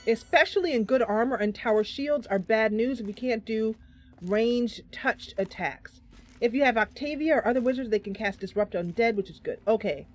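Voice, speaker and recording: A woman's voice: on the high side, normal speed. Woman game streamer thinking aloud over music. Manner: thinking aloud, chatting with viewers. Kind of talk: casual live gameplay commentary.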